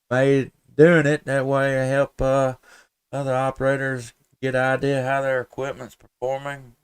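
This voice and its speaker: A man's voice: medium pitch, clear, resonant tone, no room sound. A man working as an amateur radio operator on a live stream, folksy and courteous, using radio jargon and spelled-out call signs. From southern Middle Tennessee, USA. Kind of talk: ham radio calling and casual contacts, live streamed.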